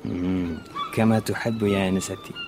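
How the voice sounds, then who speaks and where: An actor playing a courteous, obliging Egyptian servant with an Arabic accent in a radio drama, deferential, with music behind him. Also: male voice, low-pitched, fairly even intonation, normal speed, background noise, close to an everyday voice. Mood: soft, obliging. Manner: deferential, polite, mixes in Arabic phrases.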